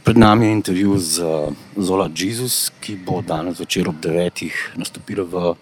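A man interviewing a guest for a radio station, speaking English as a second language after an intro in his native Slovenian, asking his questions haltingly. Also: low voice, slight background noise.

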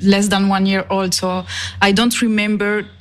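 A woman's voice: mid-range voice, level tone, moderate pace. A woman from Switzerland, a non-native English speaker, answering as a musician in a reflective interview, repeating and rephrasing as she searches for words. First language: not English.

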